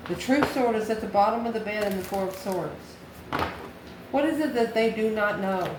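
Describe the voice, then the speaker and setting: A woman's voice: medium pitch, normal speed. A woman giving an intuitive tarot reading to the viewer, musing aloud in short, repeated phrases and questions. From United States. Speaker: tarot reader, channel host. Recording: echoey room with clear background noise.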